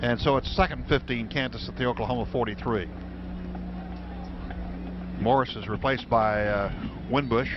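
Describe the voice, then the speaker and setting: A man's voice: mid-range voice, normal speed, long pauses. An American man calling live football play-by-play on the radio from a stadium booth. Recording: dull, noisy old radio broadcast.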